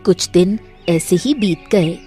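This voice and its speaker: A woman's voice: on the low side, takes it slowly, mellow, soft background music. A woman reading scripted narration as the storyteller of a moral tale.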